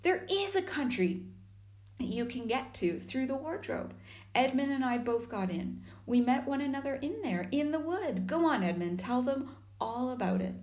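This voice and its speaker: A woman's voice: high-pitched, sing-song, moderate pace, darkish tone, reverberant, pitched above the performer's own voice. A woman voicing an earnest, insistent young girl in a storybook reading. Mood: urgent.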